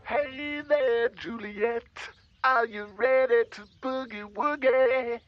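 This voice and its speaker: A man's voice: extremely high pitch, fairly even intonation, slow, muffled recording, faint music behind. A man playing a smug, sleazy, jive-talking zombie villain in a video game cutscene, taunting his foe.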